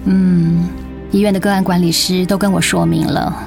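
A woman's voice: on the low side, flat delivery, normal speed, vocal fry, music in the background. A woman playing a weary, self-doubting sick young mother in a radio drama, with a Taiwanese Mandarin accent. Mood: subdued, resigned. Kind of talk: dramatic radio play acting.

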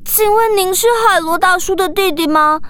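A woman's voice: very high voice, moderate pace, a bit bright. A woman voicing a polite, childlike young boy dolphin in a children's audio drama, eager and a little hesitant. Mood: timid, nervous.

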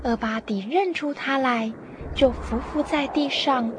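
A woman's voice: sits high, unhurried, noisy background. A woman narrator telling a scripted story for a radio drama.

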